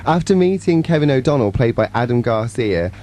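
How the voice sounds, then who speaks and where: A man's voice: medium pitch, lively intonation, brisk, noisy background. A man in his twenties co-hosting live music radio, switching between light banter and presenting features. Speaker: radio co-host. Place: radio studio.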